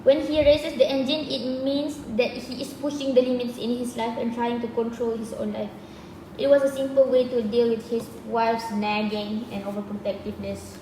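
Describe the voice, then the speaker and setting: A woman's voice: on the high side, little pitch movement, moderate pace, dark tone. A young adult woman with a Malaysian accent, presenting informally in a group discussion as a student. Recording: echoey hall, distant mic, faint noise.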